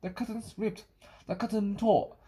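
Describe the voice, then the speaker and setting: A woman reading a children's picture book aloud, sometimes halting and repeating phrases. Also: mid-range voice, fairly even intonation, warm tone, breathy edge. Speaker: storybook reader.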